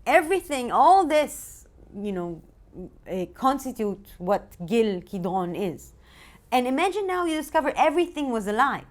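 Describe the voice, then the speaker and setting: High-voiced Israeli woman explaining ideas. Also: sing-song, normal speed. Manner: explanatory, with rhetorical questions. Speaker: philosophy expert and co-host. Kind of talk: casual discussion.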